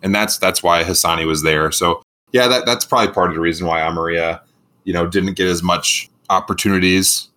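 A man with a booming, bright and forceful voice, co-hosting a casual sports podcast. He talks in a low register and is animated and enthusiastic. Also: brisk. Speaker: podcast co-host; middle school teacher.

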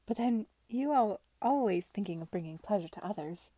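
An artful young gentlewoman from a period storybook, put on by a woman reader. She exclaims sweetly and ingratiatingly to please her elders. The voice is mellow and husky, recorded dry. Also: mid-range voice, animated, normal speed, dry recording. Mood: flattering. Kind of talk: audiobook character dialogue.

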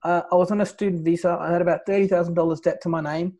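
A man's voice: sits high, little pitch movement, racing, mellow. A man from Nepal in his thirties, a podcast guest telling his own story in casual talk, leaning on "right?" and "like, you know".

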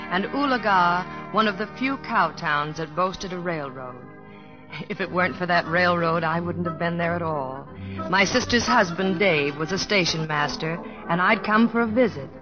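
A woman's voice: lowish voice, natural voice. Actress as a poised young American woman, vintage radio. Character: poised, helpful young woman of the early-1900s West. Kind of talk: 1950s radio drama acting and narration. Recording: dull vintage radio broadcast, music behind.

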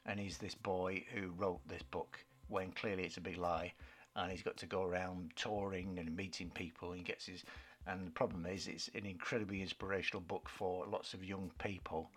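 Male podcast co-host: low, husky, rambling and hesitant, casual review chat. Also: level tone, normal speed, hardly any pauses. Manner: rambling and hesitant, searching for words.

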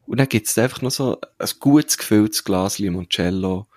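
A man with a Swiss German accent and a fairly low voice, recorded very dry. He chats as a podcast co-host in a colloquial, anecdotal way, dropping in slang and tag questions. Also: normal speed, darkish tone, dry recording. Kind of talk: casual chat.

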